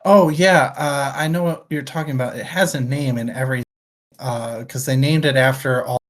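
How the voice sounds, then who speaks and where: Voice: medium pitch, steady tone, moderate pace. A podcast host chatting casually about books in a wry, hedging manner. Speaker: book discussion show host. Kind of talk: casual chat, book discussion.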